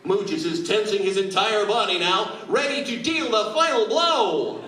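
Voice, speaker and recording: Very high-voiced ringside announcer. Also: male voice, lively intonation, normal speed, bright, forceful tone. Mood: tense excitement. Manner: dramatic play-by-play. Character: breathless old-time ringside wrestling announcer. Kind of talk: comic radio play sports commentary. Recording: distant camera in noisy, roomy hall.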